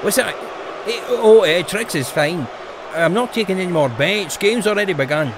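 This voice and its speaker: An actor playing a grumpy, cagey bookie in a comic fantasy audio drama. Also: male voice, sits high, animated, normal speed, noisy background. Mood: irritable. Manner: irritable, brusque.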